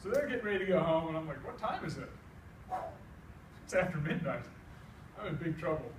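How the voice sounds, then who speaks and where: Echoey room, middle-aged man, American accent, high-pitched for a man, folksy comic storyteller, animated dialogue, faint background noise. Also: a little fast, distant mic. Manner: animated, folksy delivery acting out both sides of dialogue. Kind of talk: live comic tall-tale storytelling.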